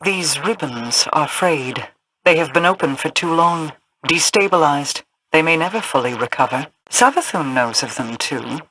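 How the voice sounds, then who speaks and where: Bright-voiced, imperious mystical queen. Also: sits low, lively intonation, low-quality recording. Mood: grave, imperious. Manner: formal, measured, imperious. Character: regal, commanding female queen of a mystical people. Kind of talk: video game voice acting.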